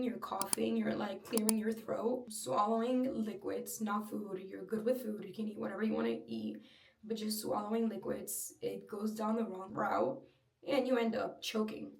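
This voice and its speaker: A young woman with a slightly breathy voice, chatting openly and personally in a casual home vlog. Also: medium pitch, a little mellow, recorded from a distance, a little hiss or hum. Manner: chatty, filler-heavy, open and personal. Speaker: vlogger. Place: at home, in her room. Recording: roomy echo, a little off-mic.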